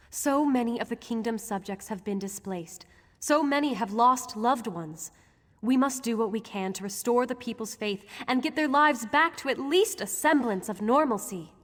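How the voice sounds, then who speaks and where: An actress playing a poised, earnest young countess in a fantasy video game, speaking in a formal, measured way. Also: female voice, fairly high pitch, a bit bright. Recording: echoing hall-like reverb.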